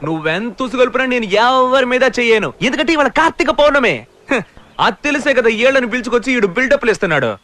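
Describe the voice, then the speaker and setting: Swaggering male rogue, very high sharp voice, rapid mocking delivery, film comedy. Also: lively intonation, exaggerated voice. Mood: taunting. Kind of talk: Telugu film comedy acting.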